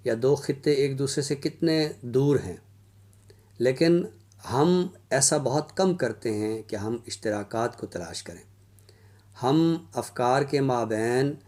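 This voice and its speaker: Slow, measured male lecturer. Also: medium pitch, dark tone. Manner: measured, formal, deliberate with pauses. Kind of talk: scholarly literary lecture.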